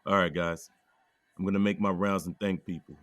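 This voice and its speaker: An actor playing a grieving police chief who speaks calmly to friends. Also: male voice, sits low, moderate pace, no echo. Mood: steady. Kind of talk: audio drama acting.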